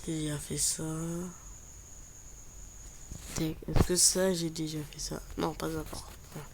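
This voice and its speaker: A woman with a cold and a stuffy voice, chatting slowly through a building game, often repeating herself. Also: deep voice, little pitch movement, creaky, noisy background. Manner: slow, repeats words while building. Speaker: Minecraft YouTuber. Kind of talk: casual gameplay commentary.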